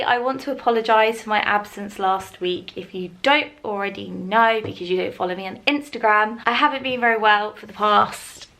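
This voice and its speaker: A young woman of about twenty, chatting to camera at home in a rambling, self-deprecating way, her voice still slightly croaky. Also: a little high, bright, forceful tone. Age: around 20. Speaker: vlogger. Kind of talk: casual chat to camera.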